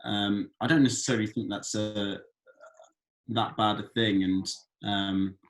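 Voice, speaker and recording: A man's voice: on the low side, fairly even intonation, a little slow. A man, an actor at a read-through, casually offering thoughts on a script in a hesitant, agreeable way.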